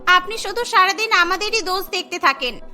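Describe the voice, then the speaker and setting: A woman voicing a sharp-tongued young daughter-in-law in a cartoon family drama, complaining and reproachful. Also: extremely high pitch, animated, fairly quick, exaggerated voice. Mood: indignant. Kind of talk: melodramatic cartoon dialogue. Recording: music bed behind the voice.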